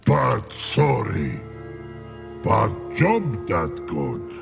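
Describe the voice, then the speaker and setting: An actor playing a slow-witted, childlike, sorrowful troll who talks in broken, simple fragments in a video game. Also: male voice, on the low side, huge pitch swings, gravelly, big-room sound, over music, full character voice. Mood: dim, sorry. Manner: broken, simple speech in short halting fragments.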